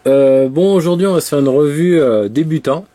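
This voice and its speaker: A man's voice: a little high, moderate pace, warm tone, faint music behind. A man with a head cold, chatting casually through a product review with lots of fillers and restarts. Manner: rambling, many fillers and restarts. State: has a cold, congested. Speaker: vape reviewer.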